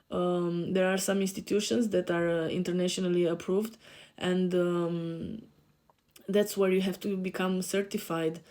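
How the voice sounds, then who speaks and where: A woman in her thirties with a Romanian accent and a low, mellow voice. She introduces herself to camera as a podcast host, hesitant, with frequent fillers and restarts. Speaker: podcast host and life coach. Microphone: facing camera.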